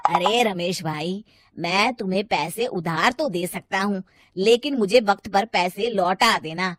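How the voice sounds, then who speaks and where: A woman voicing a cautious, principled male office friend, measured and advising, in a cartoon moral story. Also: mid-range voice, close to an everyday voice.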